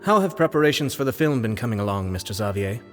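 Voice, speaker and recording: A man's voice: mid-range voice, animated, fast, lightly acted. An actor playing a composed, polite young nobleman diplomat speaking formally in game dialogue. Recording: reverberant with music behind.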